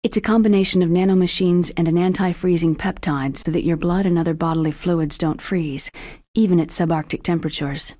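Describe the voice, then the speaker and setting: Actress as no-nonsense research doctor for a game cutscene: lowish female voice, precise and detached, dry close recording. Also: fairly even intonation, brisk, dark tone, no room sound, close to an everyday voice. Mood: cool, informative. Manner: crisp, matter-of-fact, clinical. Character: cool, brisk female military scientist. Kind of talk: video game cutscene acting.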